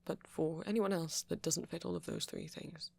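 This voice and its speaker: A man playing a shy, apologetic young vampire in an ASMR roleplay, with a posh British accent and a hesitant, stammering, soft-spoken way of talking. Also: a little high, steady tone, normal speed, gravelly, breathy edge, no echo, heavily acted. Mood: uneasy, reticent. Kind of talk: intimate ASMR roleplay audio.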